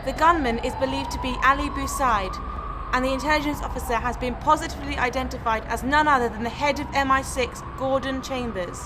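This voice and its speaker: A woman's voice: high-pitched, brisk, music in the background, lightly acted. A woman playing a driven, anxious female news reporter in a radio drama. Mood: grave reporting.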